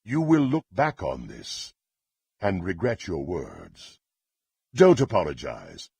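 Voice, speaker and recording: An actor playing a power-hungry, contemptuous dark warrior in a fantasy video game, with a gravelly, husky voice and haughty, theatrical, archaic phrasing. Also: male voice, deep voice, huge pitch swings, slow, dry recording, full character voice. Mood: smug, haughty.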